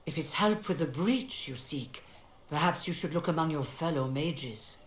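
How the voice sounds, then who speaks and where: Courtly sorceress diplomat, deep for a woman, gracious and formal, roomy fantasy game sound. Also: expressive, normal speed. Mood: smooth, courtly. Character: poised, courtly female mage envoy, smoothly diplomatic. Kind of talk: video game dialogue acting. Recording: roomy echo, faint background noise.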